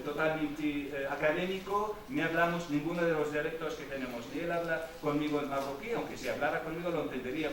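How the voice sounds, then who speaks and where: A man whose first language is Arabic, giving a university lecture with hesitant pauses and restarts. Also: a little high, fairly bright tone. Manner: hesitant, with many fillers and restarts. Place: lecture hall. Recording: echoey hall, mic far off, noisy.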